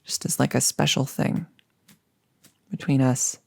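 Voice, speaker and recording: A woman's voice: very deep voice, flat delivery, unhurried, vocal fry, a little mellow, no echo, heavily acted. A woman playing a chatty, upbeat girlfriend in an intimate ASMR roleplay, rambling casually and musing with hesitations. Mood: casual, reminiscing. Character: chatty, upbeat girlfriend about to become a vampire.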